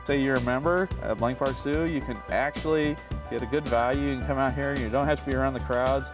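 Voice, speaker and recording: A man's voice: mid-range voice, fairly even intonation, brisk, over music. A man, a zoo staff member, giving conversational interview answers and explaining things plainly.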